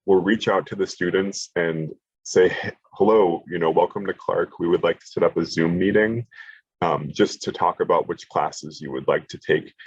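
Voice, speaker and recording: Guy running a college prep zoom workshop, deep raspy voice, kinda muffled dry audio. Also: no echo. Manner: casual, lots of fillers and restarts. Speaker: college admissions presenter. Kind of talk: online workshop presentation.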